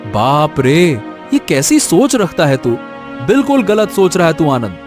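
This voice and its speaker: A man playing a caring, gently scolding elder brother, a landlord's son, in a moral story. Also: a little high, huge pitch swings, unhurried, over music. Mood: chiding, reassuring.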